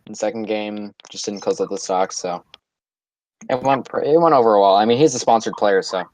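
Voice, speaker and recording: A man's voice: fairly low pitch, moderate pace, darkish tone, rough recording. A man on a group voice call talking about his day in a laid-back, matter-of-fact way, with fillers. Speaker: voice call regular and tournament player. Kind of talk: casual chat.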